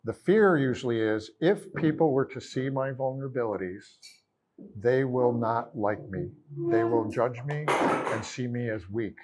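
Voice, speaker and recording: A man with a loud voice, demonstrating a therapy session in front of an audience and asking probing questions. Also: medium pitch, a little slow, low-quality recording.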